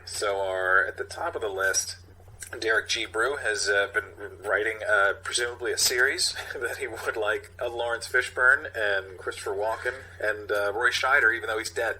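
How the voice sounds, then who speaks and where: A man's voice: on the low side, moderate pace, bright, forceful tone, a little hiss or hum, rough recording. A man co-hosting a podcast, chatting casually and reading out script directions. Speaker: podcast co-host.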